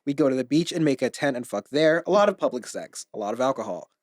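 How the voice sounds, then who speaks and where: Brief: male comedian reading as a charismatic, blunt queer woman, deadpan delivery, animated pitch. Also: fast, no room sound, clean studio sound, natural voice. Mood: frank. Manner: blunt, deadpan, frank about sex. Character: cool, charismatic queer woman from Los Angeles, in her late twenties. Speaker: comedian reading a listener's story. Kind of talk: casual first-person story reading.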